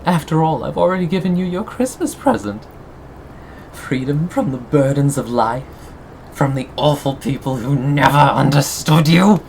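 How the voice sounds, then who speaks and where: A performer in an intimate ASMR roleplay voicing a possessive, sweetly menacing captor, coaxing and teasing with pauses and sighs. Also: mid-range voice, vocal fry, darkish tone, a little hiss or hum, close to an everyday voice. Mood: possessive menace.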